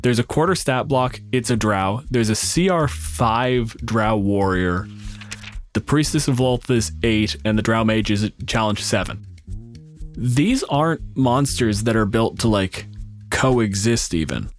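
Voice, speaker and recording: A man's voice: on the low side, a little slow, faint music behind. A man chatting casually as a co-host, explaining his opinions in a blunt, sweary way. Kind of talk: casual opinionated panel chat.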